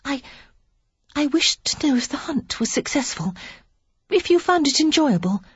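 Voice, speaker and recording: A narrator voicing a shy, timid young noblewoman, speaking hesitantly and softly. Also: sits high, expressive, measured pace, no echo, muffled recording, voice pushed up. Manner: hesitant, soft, breathy. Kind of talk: audiobook character voice.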